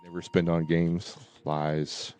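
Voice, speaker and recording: A man's voice: sits low, little pitch movement, slow, darkish tone, clear, resonant tone, soft background music. A man streaming games and chatting casually in a hesitant, rambling way. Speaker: game streamer. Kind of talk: casual livestream chat.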